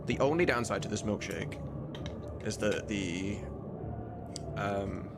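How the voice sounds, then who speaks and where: A man's voice: fairly low pitch, slow, creaky, heavy background noise. A man chatting casually on a live stream, rambling and informal with some swearing. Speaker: live streamer and game modder.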